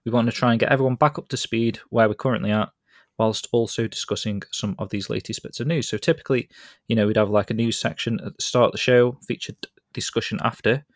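A man's voice: mid-range voice, steady tone, fast, no room sound, clean studio sound. A man with a British accent hosting a podcast in a chatty, rambling way and laughing easily. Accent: British English. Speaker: podcast host. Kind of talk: casual podcast chat.

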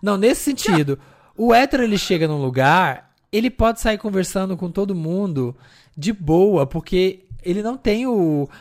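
A man, co-hosting a podcast in animated, chatty conversation full of fillers. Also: sits high, moderate pace. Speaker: podcast co-host. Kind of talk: casual chat.

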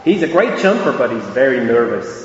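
A man's voice: medium pitch, sing-song, fairly quick, a little hiss or hum, close to an everyday voice. A man voicing a practical farm owner. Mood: firm. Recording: dull echoey hall recording.

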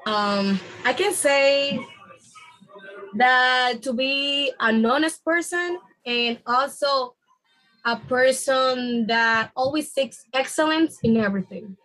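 A woman with a Dominican accent whose first language is Spanish. She speaks in a very high voice. She talks like a guest on a casual podcast, chatty and laughing often. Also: slow, fairly bright tone, faint music behind, low-quality recording. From Dominican Republic.

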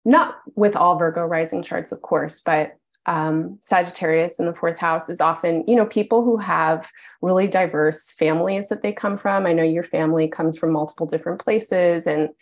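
A woman with a lowish voice reads a friend's birth chart in a loose, conversational way. She meanders through her points with plenty of "you know" and "like," sounding affirming as she goes. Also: normal speed. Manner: meandering, warm affirming with many fillers. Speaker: astrologer. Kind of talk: casual astrology reading.

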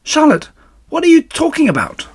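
A man's voice: mid-range voice, very animated, normal speed, fairly bright tone, slightly rough, dry recording. A man voicing a frantic, tearful young male pig in a children's story, loyal and pleading, with urgent, wailing delivery. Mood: anguished.

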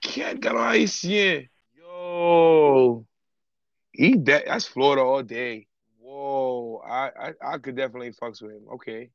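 A man co-hosting a casual chat show, bantering excitedly and laughing often. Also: mid-range voice, slow pace, clean tone, lo-fi sound. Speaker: podcast co-host.

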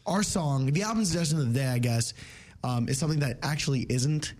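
A man's voice: a little high, quick pace, a little mellow. A man hosting a morning radio show, chatting loosely with lots of fillers. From New Jersey. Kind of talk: casual morning radio banter.

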